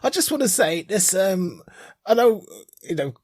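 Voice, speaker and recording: A man with a husky voice, co-hosting a podcast with wry, joking, casual geek chat. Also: high voice, very animated, unhurried, darkish tone, no echo, clean studio sound. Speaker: podcast co-host.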